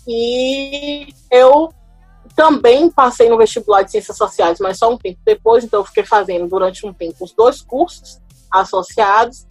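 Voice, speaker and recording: A woman in her thirties, slightly high-voiced, tells her personal story as a podcast guest. She speaks thoughtfully, pausing and restarting often. The sound is very dry and close, with faint music underneath. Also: a little mellow, no echo, poor audio. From Salvador, Bahia, Brazil. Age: 30s. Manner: reflective, hesitant with many fillers and restarts. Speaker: guest lawyer.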